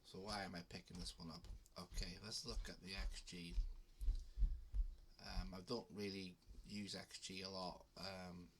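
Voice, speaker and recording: Guy with a cold, low flat voice, rambling a bit with lots of ums, faint hiss behind. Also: unhurried. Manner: relaxed, with frequent ums and restarts. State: has a cold. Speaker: betting channel host. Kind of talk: casual talk to camera.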